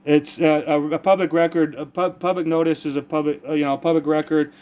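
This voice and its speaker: An American man speaking as a caller with a complaint, earnest and rambling, halting as he searches for formal words and often repeats himself. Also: a little high, little pitch movement, darkish tone, clean tone. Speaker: caller making a complaint to a public agency.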